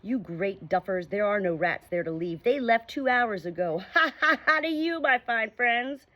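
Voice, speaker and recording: A woman's voice: a little high, lively intonation, moderate pace, muffled recording, voice pushed up, close to an everyday voice. A plucky storybook dog, boisterous and cocky, eager for a scrap, as a woman reader puts him on. His voice bounces with bravado.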